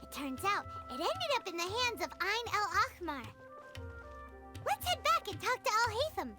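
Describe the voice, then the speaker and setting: A woman voicing a tiny, chirpy, curious fairy companion in a video game, squeaky and childlike, referring to herself by name. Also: big pitch swings, normal speed, over loud music. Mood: cheerful.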